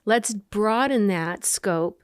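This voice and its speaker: A woman's voice: mid-range voice, slow, smooth. A middle-aged woman with a mid-range voice explains her work in an upbeat, step-by-step manner, reeling off examples as she goes. Her voice is captured close and dry, with no echo around it. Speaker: company founder and CEO, guest. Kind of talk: interview answers.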